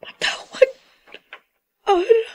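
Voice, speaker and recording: An actress playing a remorseful, grief-stricken daughter in a radio drama, pleading and emotional. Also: female voice, slow pace, darkish tone, whispered, close to an everyday voice.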